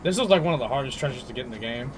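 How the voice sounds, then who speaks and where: A man's voice: mid-range voice, lively intonation, rapid-fire, noisy background. A young man giving casual, slangy gameplay commentary, often talking to himself as he plays. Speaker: video game streamer. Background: game music.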